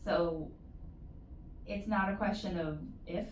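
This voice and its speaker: Woman, slightly low voice, measured advisory interview talk, distant mic, roomy echo. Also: steady tone, a little hiss or hum. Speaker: interview guest, university postdoctoral support staff. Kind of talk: conversational interview answers, giving advice.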